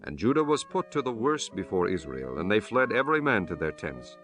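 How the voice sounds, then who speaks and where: A man reading scripture as an audiobook narrator in a solemn, measured, formal manner. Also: on the low side.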